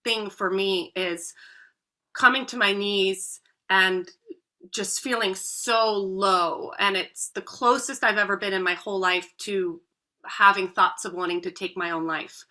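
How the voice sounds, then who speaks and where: A woman's voice: mid-range voice, steady tone, unhurried, pushed and bright. A woman in her thirties, a voice coach, telling her own story as a guest in an earnest, heartfelt way. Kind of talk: podcast interview.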